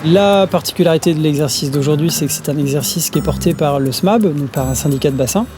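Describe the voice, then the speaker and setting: A man giving a measured explanation in an interview. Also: on the high side, noisy background. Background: music.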